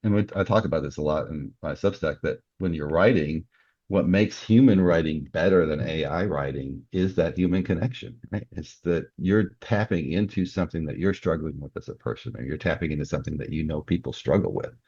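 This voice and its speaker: A man's voice: very deep voice, fairly quick, mellow, husky, dry recording, highs cut off. A man talking casually as a podcast guest, explaining his views earnestly with examples. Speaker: security company worker.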